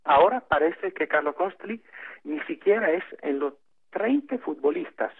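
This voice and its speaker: Guy on a tinny phone line, talks slow, lots of ums, kinda high voice. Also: animated, slightly rough. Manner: halting, many fillers, slow and deliberate. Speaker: interview guest on phone. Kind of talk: phone interview, explaining at length.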